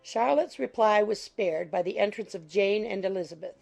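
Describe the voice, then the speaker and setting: A woman's voice: mid-range voice, moderate pace, gravelly, a little mellow, faint music behind. A woman reading scripted literary narration as an audiobook narrator.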